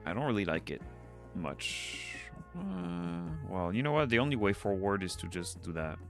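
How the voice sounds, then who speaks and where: A man with a deep voice, English not his first language, chatting casually on a livestream as he draws, rambling, muttering to himself and swearing now and then. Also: big pitch swings, normal speed, creaky, soft background music. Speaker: streamer. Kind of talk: casual livestream chat while drawing.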